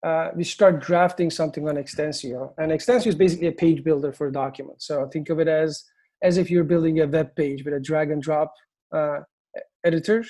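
Turkish-accented male founder, mellow tone, halting speech. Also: a little high, steady tone. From Turkey. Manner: halting, many fillers and restarts. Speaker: agency founder, guest. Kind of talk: interview answers.

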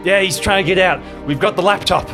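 Man acting out a strained, high-pitched, forceful adventurer. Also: brisk, over music, natural voice. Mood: urgent, rattled. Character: strained, stubborn man fighting off an inner possession.

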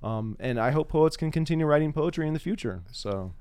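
A man with a clear, smooth voice in a dry, close recording, hosting a casual interview. He talks in a wry, playful way and pokes fun at himself. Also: on the high side, animated, a little fast, darkish tone, no room sound. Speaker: podcast host interviewer.

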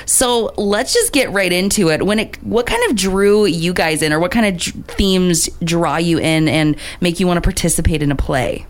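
A woman with a clear, resonant voice works as a radio host. She interviews guests in a friendly studio chat, keeping things informal and encouraging. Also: on the low side, moderate pace, hardly any pauses. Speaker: radio talk show host.

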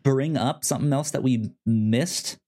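A man's voice: medium pitch, measured pace, warm tone. A man co-hosting a podcast in casual chat, rambling with frequent restarts and stammers. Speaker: podcast co-host.

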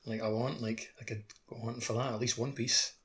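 A man's voice: medium pitch, little pitch movement, brisk, a bit bright. A man co-hosting a podcast, chatting informally about a video game. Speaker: podcast co-host.

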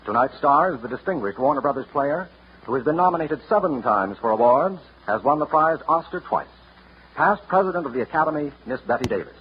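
A man's voice: fairly high pitch, animated, fairly quick, slight background noise. An American man announcing in formal, declamatory 1940s radio style, reading a scripted sponsor introduction. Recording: dull vintage radio recording with music. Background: music.

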